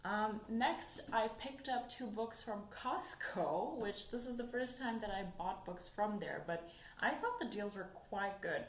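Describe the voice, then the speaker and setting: A woman's voice: mid-range voice, lively intonation, measured pace. A young woman whose first language is German, chatting casually about books in a haul video and thinking aloud as she goes. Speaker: book vlogger. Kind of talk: casual book haul chat. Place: sunny room indoors. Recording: echoey room with faint noise.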